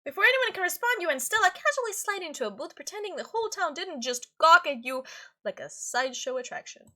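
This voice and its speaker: A woman hosting a gaming video, narrating a visual novel with casual commentary in an expressive way. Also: extremely high pitch, quick pace. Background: game music under the voice.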